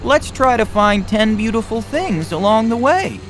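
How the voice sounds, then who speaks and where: A man's voice: high voice, animated, unhurried, a bit bright, soft background music, pitched above the performer's own voice. A man voicing an upbeat, encouraging old grandmother in a storybook, cheerful and reassuring. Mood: gently encouraging.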